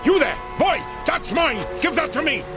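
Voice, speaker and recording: An actor playing a dour, flustered small-town grumbler of 1890 in a radio drama, with a blustering, exclamatory delivery. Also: male voice, extremely high pitch, sing-song, moderate pace, over loud music. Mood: frantic, indignant.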